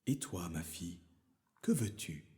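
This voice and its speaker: A man's voice: low-pitched, expressive, measured pace, warm tone. A man voicing a humble, earnest merchant father fallen on hard times in a fairy tale. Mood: tender. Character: ruined merchant father, tender, sad, humble older man.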